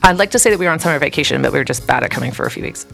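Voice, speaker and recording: A woman's voice: low voice, animated, racing, creaky, over music. A woman co-hosting a casual podcast, chatty and opinionated, often laughing between thoughts. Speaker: podcast co-host. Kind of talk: casual chat.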